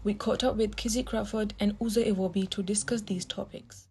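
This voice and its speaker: Mellow, slightly husky young woman presenter. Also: medium pitch, fairly even intonation, a little fast. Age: young adult. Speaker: presenter introducing a film. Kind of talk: scripted introduction.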